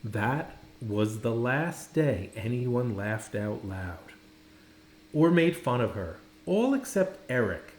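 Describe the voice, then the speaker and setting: A man reading a children's story aloud, dramatically, with pauses and stressed words. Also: mid-range voice, very animated, a little mellow. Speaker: storybook reader. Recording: roomy echo, faint background noise.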